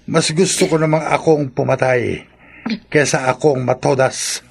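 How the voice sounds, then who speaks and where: An actor playing a ruthless, hot-tempered killer in a horror radio drama, with a menacing, taunting delivery. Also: male voice, medium pitch, steady tone, takes it slowly, gravelly, slightly rough, exaggerated voice. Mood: defiant, irritated. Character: ruthless violent killer, hot-tempered husband-type man.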